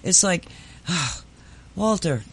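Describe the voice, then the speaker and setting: A man's voice: high-pitched, animated, extremely slow, fairly bright tone, husky. A man hosting a radio show, chatting in a teasing way with many restarts. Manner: teasing, restarts often, laughs a lot. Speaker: radio host. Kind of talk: casual chat.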